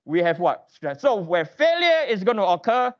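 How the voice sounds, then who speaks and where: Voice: mid-range voice, very animated, moderate pace, highs cut off. An engineering lecturer teaching interactively in a classroom, quizzing students with emphatic, repeated questions and prodding them for answers.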